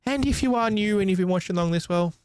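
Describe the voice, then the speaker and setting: A man's voice: sits high, quick pace, darkish tone, smooth, no echo. A man hosting a game livestream, chatting directly to viewers. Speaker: game streamer, host. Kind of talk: livestream sign-off chat and story reading.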